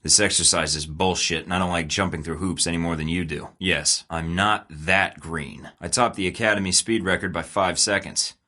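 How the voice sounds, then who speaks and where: A man's voice: sits low, fairly even intonation, moderate pace, hardly any pauses, sharp tone. An actor voicing a cocky young male spy recruit in a video game, speaking in a wry, sarcastic, self-assured way. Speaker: game character.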